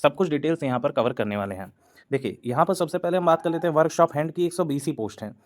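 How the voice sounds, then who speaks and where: A man's voice: on the high side, animated, quick pace. A man hosting an exam-news channel, talking in a loose explainer style with frequent hesitations and English words mixed in. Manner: repetitive, hesitant fillers, Hindi-English mix. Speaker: exam coaching channel host. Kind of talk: explainer talk to camera.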